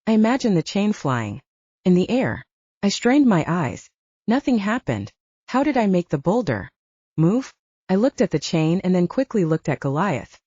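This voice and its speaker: A woman's voice: fairly low pitch, mellow, husky, no room sound, muffled recording. A woman narrating a fiction audiobook in a dramatic first-person storytelling style.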